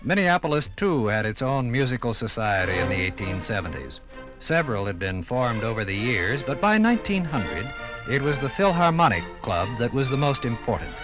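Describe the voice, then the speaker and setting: American guy doing old 50s radio narration, formal n measured, music under him. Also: mid-range voice, very animated. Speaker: documentary narrator. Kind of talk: 1950s radio scripted narration.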